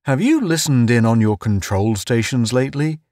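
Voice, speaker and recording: A man's voice: medium pitch, animated, moderate pace, darkish tone, no echo, clean studio sound, close to an everyday voice. A man reading the part of a bitter, weary outpost spaceman in an audiobook, dull and sardonic, often muttering. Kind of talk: audiobook character voice.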